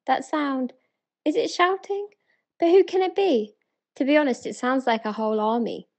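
Eager, high-voiced British townswoman acted by a woman. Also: moderate pace, gravelly. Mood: excited, insistent. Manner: earnest, excited, pleading. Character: eager, wonder-struck townswoman of ancient Bethlehem. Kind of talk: dramatic spoken-word verse reading.

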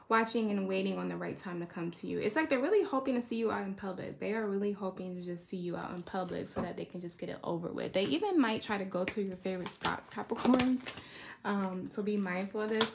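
Gravelly-edged woman doing a casual tarot reading. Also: mid-range voice, slight background noise. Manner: conversational, rambling, checks in with "right?" and "you know". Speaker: tarot reader. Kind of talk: casual intuitive card reading commentary.

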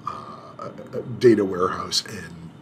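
A man walking through a software setup on screen in an unhurried tutorial, pausing often with fillers and restarting his phrases. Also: sits low, fairly even intonation, a little hiss or hum. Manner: unhurried, hesitant, many filler sounds and restarts. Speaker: IT administrator demonstrating software setup. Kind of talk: screen-recorded tutorial walkthrough.

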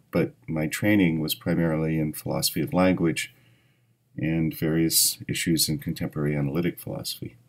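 An American man in his sixties, a professor, reminiscing to camera in a measured, deliberate way with emphatic phrase openings. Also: low voice. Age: 60s. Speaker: philosophy professor. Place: dim indoor hall with empty seats.

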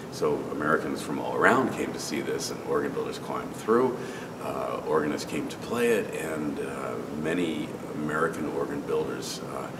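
Middle-aged organist guy, really deep voice, loose chatty tour talk, roomy sound with a steady hvac hum behind. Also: far from the mic. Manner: relaxed, conversational, with frequent fillers and restarts. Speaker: cathedral organist. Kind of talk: informal spoken tour. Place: large stone cathedral, organ console. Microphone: camera at medium distance. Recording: roomy cathedral reverb, off-mic, air-conditioning hum.

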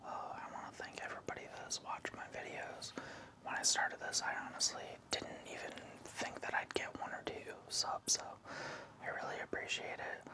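A man in his thirties doing ASMR, hesitant, moving between a whisper and a soft voice in a small room indoors. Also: moderate pace. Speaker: ASMR video host.

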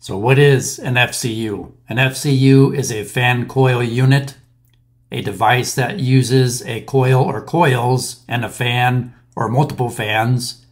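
Man explaining HVAC basics, steady and methodical. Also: medium pitch, unhurried. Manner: plain, methodical walkthrough with occasional fillers. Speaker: maintenance instructor. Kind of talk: explainer voice-over over slides.

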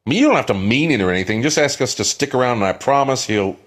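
Man doing an animated, cajoling older western outlaw. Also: mid-range voice, fast. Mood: coaxing. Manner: cajoling, chatty. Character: affable fast-talking older outlaw peacemaker, coaxing. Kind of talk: dramatic western film acting demo.

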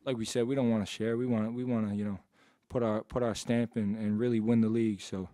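A young man answering questions as a college basketball player at a postgame press conference, in a laid-back, low-key way, into a table mic. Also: flat delivery, quick pace, mellow, no room sound, clean studio sound. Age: young adult. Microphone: table mic on stand.

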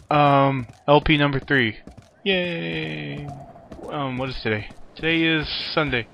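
A man's voice: a little high, vocal fry, dull audio. A man hosting a let's play, giving casual, rambling, jokey gameplay commentary.

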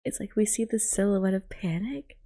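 A young woman giving gushing, casual reaction commentary in a hushed, half-whispered ASMR manner, close to the microphone. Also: mid-range voice, moderate pace, creaky. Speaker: VTuber reacting to a game trailer.

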